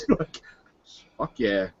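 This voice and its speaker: A man casually improvising third-person narration as a tabletop game player, halting and full of filler words. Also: a little high, huge pitch swings, fairly bright tone, slightly rough. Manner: halting, peppered with "like". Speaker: role-playing game player. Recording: slightly muffled lo-fi audio.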